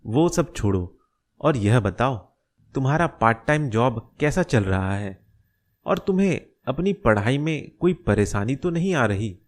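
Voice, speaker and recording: A man voicing a caring, concerned middle-aged father in a cartoon story, giving gentle advice. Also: medium pitch, lively intonation, unhurried, close to an everyday voice. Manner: gentle, advising. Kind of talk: Hindi cartoon story dialogue.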